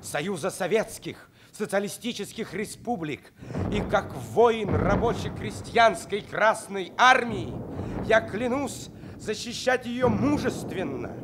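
A fervent young-army recruit in a radio drama, reciting ceremonially. His high voice is solemn and declamatory, set against very loud background noise. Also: lively intonation, slow. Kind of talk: radio play oath recitation. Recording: loud noisy ambience, vehicle-like rumble.

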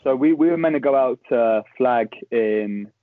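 A young man with a Southern English accent, a podcast guest chatting quickly with dry humour. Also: medium pitch, dry recording. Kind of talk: casual interview chat. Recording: tinny phone-like call line, dry.

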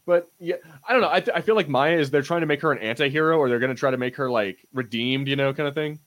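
A man's voice: a little high, fast talker, a bit bright. A man hosting a podcast, chatting casually about TV shows in an informal way with some mild swearing. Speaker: podcast host.

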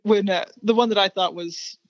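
A woman speaking as a researcher guest in an interview, explaining findings in a measured way. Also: medium pitch, fairly even intonation, dry recording, dull audio.

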